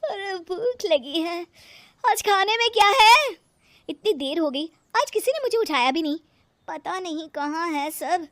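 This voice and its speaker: Woman playing a shrill, whiny lazy daughter-in-law. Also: expressive, measured pace, fairly bright tone, no echo, studio quality, heavily acted. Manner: complaining, dramatic. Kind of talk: cartoon moral-story voice acting.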